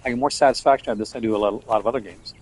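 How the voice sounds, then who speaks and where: A man's voice: medium pitch, rapid-fire, darkish tone, a little hiss or hum, poor audio. A man with a Newfoundland accent, chatting casually and offhandedly as he streams a game. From Newfoundland, Canada. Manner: rambling, offhand, talks while playing. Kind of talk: casual gameplay live-stream chat.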